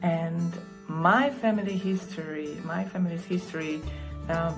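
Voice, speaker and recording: Woman over clear music. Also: on the low side. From Hungary. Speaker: collage artist. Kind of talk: prepared video introduction. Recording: music bed under the voice.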